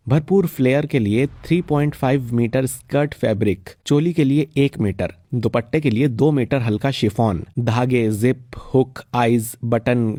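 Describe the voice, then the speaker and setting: A man's voice: medium pitch, warm tone, dry recording, studio quality. A man reading scripted narration for a cartoon family story.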